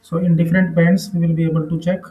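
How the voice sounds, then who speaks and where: The voice is recorded in an echoey room. It is a man with an Indian accent and a fairly high pitch, presenting a software tutorial. He explains step by step, halting and repeating himself as he goes. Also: little pitch movement, lo-fi sound. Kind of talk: screen-recorded tutorial narration.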